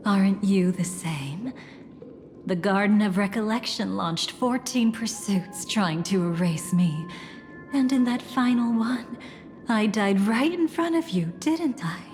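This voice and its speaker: A woman's voice: medium pitch, expressive, darkish tone, natural voice. An actress playing an elegant, mysterious woman in a video game, capricious and playfully menacing, with a teasing, theatrical delivery. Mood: teasing, provoking. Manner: theatrical, teasing, silky and deliberate. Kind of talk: video game character dialogue. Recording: long reverb, music behind.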